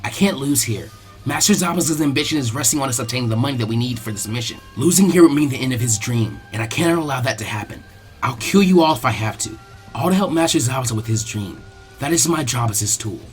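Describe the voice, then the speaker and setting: A man voicing a young masked woman ninja, formal, measured and coldly devoted to her master. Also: mid-range voice, expressive, faint music behind, voice pushed down, lightly acted. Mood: quietly resolute.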